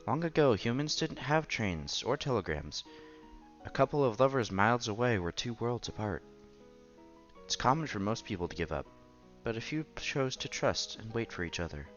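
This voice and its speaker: A man's voice: mid-range voice, big pitch swings, normal speed, a bit bright, muffled recording. A man streaming a visual novel, reading its narration and dialogue aloud expressively. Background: game music.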